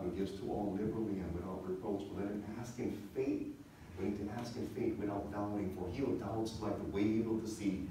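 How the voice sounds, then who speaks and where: A man in his thirties preaching a sermon as a pastor, teaching conversationally with repeated points and check-in questions, in a church. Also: fairly low pitch. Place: church sanctuary, speaking from the stage. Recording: echoey church hall, distant mic, faint noise.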